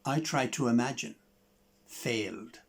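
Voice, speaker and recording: An older man with an Irish accent, reading poetry and introducing performers in a measured, deliberate way. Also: medium pitch, gravelly, breathy edge. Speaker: host introducing songs and reading his own poem.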